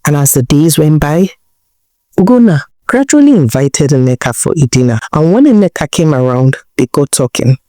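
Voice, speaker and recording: A woman with a Nigerian accent narrating a folktale in a deliberate way, repeating phrases for emphasis. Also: sits low, big pitch swings, mellow, no echo, clean studio sound. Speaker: storyteller, narrator.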